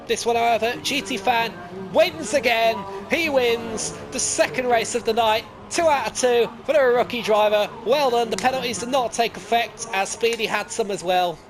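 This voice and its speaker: A high-pitched man calls a simulated motor race live, excitable and quick to jump up when cars collide. He drifts into rambling asides and corrects himself as he goes. Clear music plays underneath his commentary. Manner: excitable, voice jumps up at crashes and overtakes. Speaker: sim racing league commentator.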